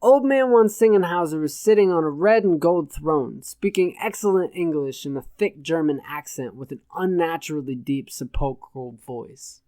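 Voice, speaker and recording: A man's voice: on the high side, normal speed, few pauses, dark tone, no room sound. Man reading an audiobook, dry close sound. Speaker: audiobook narrator. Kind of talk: scripted narration of satirical fiction.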